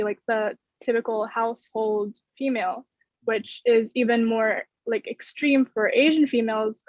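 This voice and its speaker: A woman in her twenties with an American accent, giving measured, explanatory answers in a panel discussion. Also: a little high, no echo. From United States. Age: 20s. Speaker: theater student. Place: bedroom, indoors. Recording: muffled video-call audio.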